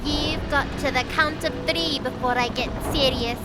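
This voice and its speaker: A woman's voice: high voice, steady tone, normal speed, very noisy, natural voice. A woman playing a brash, cocky young heroine with a playful, taunting way of talking in an audio drama. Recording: loud music behind the voice.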